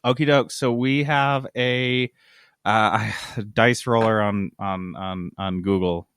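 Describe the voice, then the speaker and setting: A man's voice: lowish voice, steady tone, slow pace, fairly bright tone, smooth, dry recording, studio quality. Casual, irreverent man hosting a gaming podcast. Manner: profane, irreverent. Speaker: podcast host.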